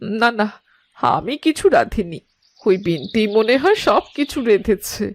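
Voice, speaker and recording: A woman's voice: a little high, big pitch swings, measured pace. A woman voicing a kindly, anxious elderly village mother-in-law in a cartoon, fretful and tender. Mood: sad, tender. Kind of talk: animated cartoon voice acting.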